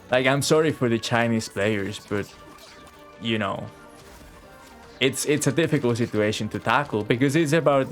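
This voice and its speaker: A man giving casual live commentary as a game streamer, reacting out loud and swearing freely. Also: on the low side. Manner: profane, reactive, talks to game and viewers. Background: game music.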